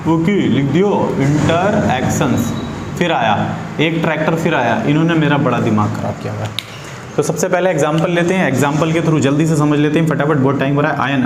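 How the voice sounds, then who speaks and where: Guy teacher, casual lesson, repeats himself a lot, echoey room w some noise. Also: a little high. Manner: repeats phrases, asks rhetorical questions to students. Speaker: chemistry teacher. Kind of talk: informal classroom-style lesson for students.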